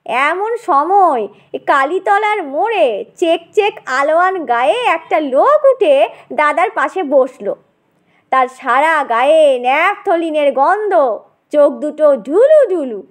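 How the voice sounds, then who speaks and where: A woman's voice: high-pitched, big pitch swings, slow, full character voice. A woman voicing an earnest middle-aged aunt who recounts a ghost story with suspense. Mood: earnest, eerie.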